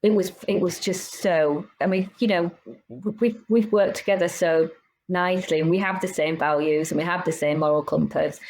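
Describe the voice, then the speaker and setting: A woman's voice: fairly low pitch, fairly even intonation, moderate pace, a little mellow, poor audio. A woman in her fifties, a business owner and podcast guest, talking frankly and animatedly in casual interview chat with many restarts. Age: 50s.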